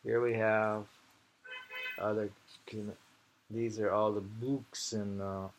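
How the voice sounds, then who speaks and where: A man talks very slowly in a fry-laden voice, casually sharing and musing on poetry. He meanders from thought to thought, with some background noise on the amateur recording. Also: lowish voice, level tone, poor audio. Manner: rambling, meandering, musing aloud. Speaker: poetry reader and host.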